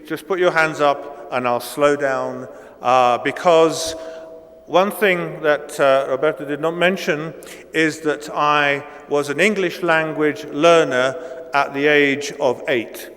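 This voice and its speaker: A man in his sixties whose first language is Italian delivers a keynote lecture at an unhurried pace, stopping often on ums and restarting his sentences. His voice sits a little high for a man. The sound rings with the long reverb of a lecture hall. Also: fairly even intonation, a bit bright. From Italy. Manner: hesitant, many ums and restarts. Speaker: guest lecturer.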